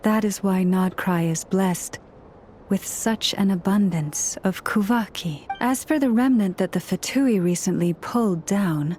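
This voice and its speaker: A woman acts a gentle priestess of a moon goddess in a fantasy game. She speaks very slowly and formally, solemn and modest, with faint music behind her. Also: medium pitch, close to an everyday voice. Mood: solemn, reverent. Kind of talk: video game storytelling dialogue.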